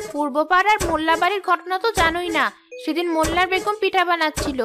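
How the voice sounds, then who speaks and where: A chatty village neighbour in a cartoon, superstitious and fond of gossip. Her voice is extremely high, and music plays clearly behind her. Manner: chatty, storytelling, earnest. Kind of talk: cartoon voice acting.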